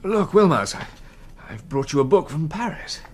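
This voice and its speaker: A man's voice: a little high, big pitch swings, normal speed, slight background noise. An actor playing a suave, worldly, casually generous man in a radio drama. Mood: genial, instructive.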